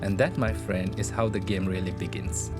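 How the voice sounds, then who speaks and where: A man's voice: sits low, steady tone, moderate pace. A man whose first language is not English, casually retelling a game's story as a video narrator. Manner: chatty, informal retelling. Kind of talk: casual game story recap. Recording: voice-over with loud game music underneath.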